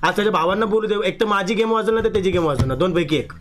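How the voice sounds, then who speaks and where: A man's voice: high-pitched, expressive, very fast, a bit bright. A young man making a prank call in casual street slang, telling his story in an agitated, complaining way. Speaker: prank caller on a live stream.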